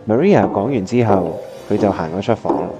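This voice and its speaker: A man's voice: on the low side, animated, moderate pace. An actor with a Hong Kong accent playing a lovesick young male pianist, narrating a scripted radio drama in an earnest, melodramatic way. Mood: heartbroken. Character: lovesick, heartbroken young male pianist hiding his feelings. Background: piano music.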